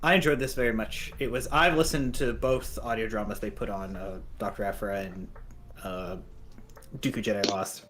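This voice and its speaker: A man's voice: lowish voice, steady tone, normal speed, a bit bright, slight background noise. A man giving a casual, conversational review as a panelist on a podcast. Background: background music.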